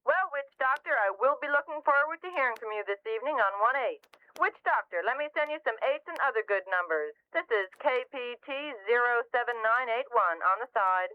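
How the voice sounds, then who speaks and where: A friendly woman chats in CB slang during a scripted role-play. Her high, smooth voice is squeezed through a narrow radio filter. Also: animated, a little fast. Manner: chatty CB slang. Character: Snowbird, friendly female CB base-station hobbyist. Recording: tinny band-limited CB radio.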